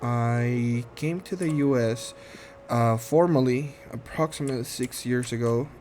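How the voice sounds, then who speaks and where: A man in his thirties from Guatemala, speaking English with a Guatemalan Spanish accent, introducing himself in a shy, halting way full of ums and restarts. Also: medium pitch, faint music behind. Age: 30s. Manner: shy, soft-spoken, earnest. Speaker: gaming channel host. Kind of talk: casual self-introduction while playing a game.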